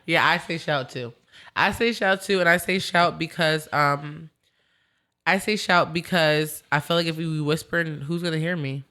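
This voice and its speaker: A woman hosting a podcast in a casual chat, talkative and quick to laugh, often repeating her words. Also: sits low, bright, forceful tone. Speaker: podcast host.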